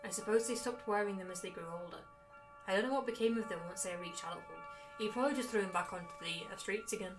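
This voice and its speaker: A woman is streaming a story game and reading it aloud. Her delivery is playful and expressive, with a casual touch, in a medium-pitched voice. Music plays clearly behind her. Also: quick pace, low-quality recording. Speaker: streamer playing a visual novel.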